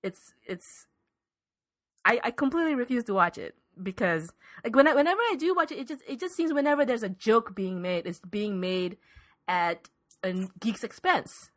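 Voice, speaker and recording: A woman's voice: fairly high pitch, very animated, moderate pace. A woman co-hosting a podcast, arguing casually and emphatically, often repeating words for stress. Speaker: podcast co-host. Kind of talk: casual debate.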